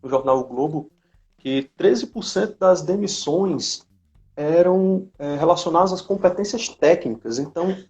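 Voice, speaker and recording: A man's voice: on the high side, a little slow, darkish tone. A Brazilian man hosting a casual live stream, reading questions and commenting, heard over slightly dull internet-call audio.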